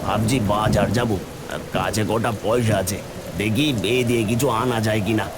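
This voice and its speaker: A man playing a gentle, weary poor village father, plain-spoken and affectionate, in a Bengali cartoon fairy tale. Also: fairly low pitch, fairly even intonation, moderate pace, close to an everyday voice. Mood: worried. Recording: faint rain noise behind.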